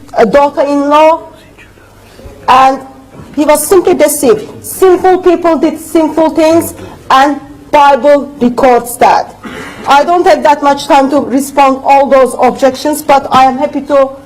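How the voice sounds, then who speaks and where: A woman with a high, light voice delivers a debate rebuttal in English, which is not her first language. Her phrasing is earnest, emphatic and a little unidiomatic. She speaks to a room at a university debate. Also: darkish tone, rough recording.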